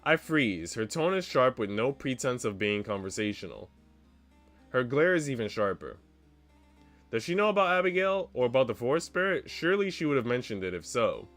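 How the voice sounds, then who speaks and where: A man's voice: medium pitch, very animated, moderate pace, a bit bright. A man narrating a visual novel aloud as a streamer, reading out first-person story text. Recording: game music behind the voice. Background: visual novel music.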